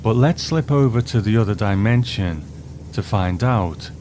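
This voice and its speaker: A man's voice: on the low side, lively intonation, a little slow, background noise. A man hosting a show with scripted narration, introducing and discussing a story. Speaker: host.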